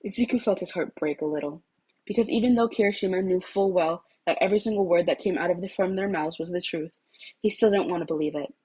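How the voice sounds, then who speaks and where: Female story reader, lower register, husky tone, expressive narration. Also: fast talker, rough recording. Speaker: fan fiction reader.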